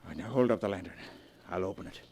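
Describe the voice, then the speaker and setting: A man's voice: sits low, very fast, a little mellow, natural voice. An actor playing a desperate, obsessed man in a 1940s radio drama, urgent and melodramatic. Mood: urgent, insistent. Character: desperate, obsessed young husband, pleading and insistent. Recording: noisy vintage 1940s radio broadcast.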